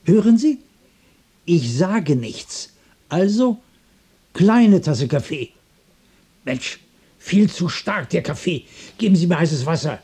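An actor playing a distraught, irritable loner in a radio drama, musing in broken repetitions and turning cranky. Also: male voice, fairly high pitch, very animated, takes it slowly, pauses often, warm tone, slightly rough, heavily acted. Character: distraught, irritable, restless loner adrift in the world. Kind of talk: literary radio drama monologue and dialogue.

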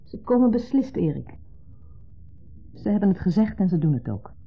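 An actress playing a patient, mildly exasperated wife in a 1970s radio play, reassuring and matter-of-fact. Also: female voice, medium pitch, sing-song, normal speed, vocal fry, breathy edge, full character voice. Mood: reassuring, impatient. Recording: muffled narrow-band 1970s radio recording.

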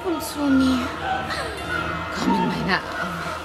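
A female vampire in a radio play, luring and languid. She draws her words out very slowly, in a medium-pitched voice, over loud music. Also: lively intonation, gravelly, a loud crowd, full character voice. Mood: seductive, yearning. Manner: slow, languishing, beckoning delivery. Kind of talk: radio drama acting.

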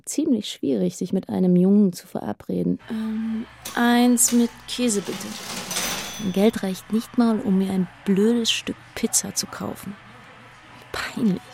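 A woman's voice: medium pitch, fairly even intonation, unhurried, gravelly, dark tone, slight background noise. An actress playing a shy, lonely, grieving teenage schoolgirl in an introspective, hesitant inner monologue for a radio play. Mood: subdued. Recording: music under the voice.

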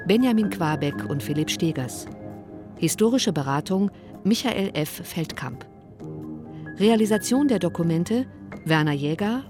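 A woman reading scripted radio announcements and credits in a formal, orderly way. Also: lowish voice.

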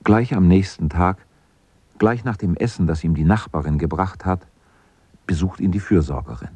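A man's voice: low voice, normal speed, darkish tone, breathy edge, dry recording. A man narrating a children's radio play as a composed storyteller, reading from a script.

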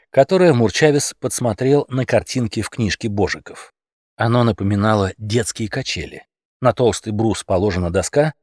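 A man narrating a humorous animal tale as an audiobook, in an expressive, theatrical storytelling manner. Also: on the low side, slightly rough, dry recording, clean studio sound.

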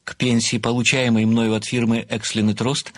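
An actor playing an obsequious, methodical amateur sleuth who speaks formally and deliberately, with flowery deference, in an audio play. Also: male voice, medium pitch, level tone, no echo, studio quality, natural voice. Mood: humbly pleading. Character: obsequious, methodical amateur male sleuth, adoring a famous writer.

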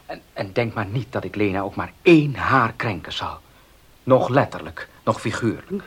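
An actor playing a headstrong farm owner and husband in a Dutch radio drama. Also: male voice, on the low side, animated, moderate pace, breathy edge. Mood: defensive, placating.

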